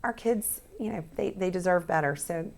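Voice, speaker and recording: Hoarse woman candidate, low voice. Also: normal speed. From South Louisiana. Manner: earnest, many restarts and fillers. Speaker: Senate candidate guest. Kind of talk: interview answers.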